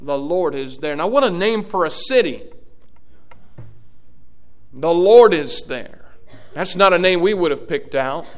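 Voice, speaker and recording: A man's voice: on the high side, lively intonation, unhurried, long pauses. A man, preaching a sermon as pastor, his delivery swelling and dropping in volume. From Indiana, USA.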